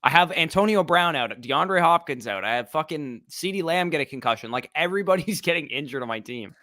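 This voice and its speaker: A man's voice: a little high, expressive, brisk, sharp tone, no echo, studio quality. A young man co-hosting a casual sports podcast, opinionated and rambling, often laughing. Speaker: podcast co-host.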